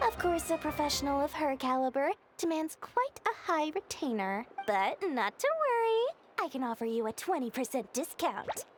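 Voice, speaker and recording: A woman's voice: high-pitched, sing-song, normal speed. An actress playing a scheming, greedy merchant woman with a wheedling sales patter, for a video game. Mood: salesy. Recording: game music behind the voice.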